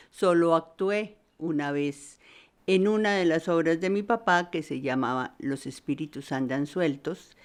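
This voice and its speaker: Older Colombian woman, plain-spoken. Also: low-pitched. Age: 60s or older. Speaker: doctor and professor, guest. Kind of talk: plain self-introduction.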